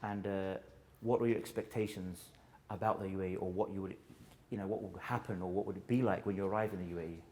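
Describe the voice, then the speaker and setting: A man's voice: deep voice, fairly even intonation, fast talker, dark tone, slight background noise. A male show host who narrates, then interviews a guest and sums up his answers back to him in a friendly way.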